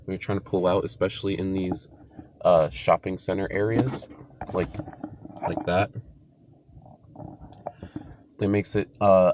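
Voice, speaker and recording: A young man with a very low, slightly congested voice talks in a loose, casual vlog style. He is recorded inside a car, with plainly audible vehicle noise around him. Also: darkish tone. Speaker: vlogger. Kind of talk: casual vlog chat to camera. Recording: noisy car interior with engine hum. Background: car and road noise.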